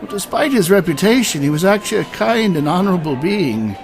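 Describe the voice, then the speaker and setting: A man acts out an honorable old immortal warrior in a fantasy audio drama. His delivery swings widely in pitch, with formal, stately phrasing and weary regret, and music plays behind him. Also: sing-song, a little fast. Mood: melancholy.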